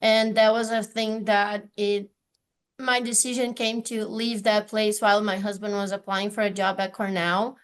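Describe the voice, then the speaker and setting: A woman's voice: medium pitch, little pitch movement, moderate pace. Brazilian-accented woman on a video call. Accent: Brazilian Portuguese. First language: Portuguese. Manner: earnest, conversational, many fillers and restarts. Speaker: office manager in a tech transfer office. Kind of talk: virtual panel discussion.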